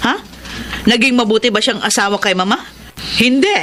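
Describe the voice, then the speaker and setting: A woman's voice: fairly high pitch, moderate pace, sharp tone, a little hiss or hum. An actress playing a bitter, unforgiving middle-aged wife and mother in a Filipino radio drama, stern and emphatic. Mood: angry, resentful.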